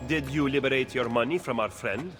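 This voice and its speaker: A man's voice: mid-range voice, a bit bright, soft background music, close to an everyday voice. A man voicing a cool, skeptical political schemer. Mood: measured. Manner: measured, dry, probing. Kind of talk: video game cutscene dialogue.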